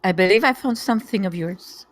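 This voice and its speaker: A woman's voice: mid-range voice, fairly quick, no room sound, close to an everyday voice. Woman acting young poisoner, close and dry. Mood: cool menace. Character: playfully menacing nineteen-year-old woman poisoner. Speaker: table-read actor. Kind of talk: live screenplay table read.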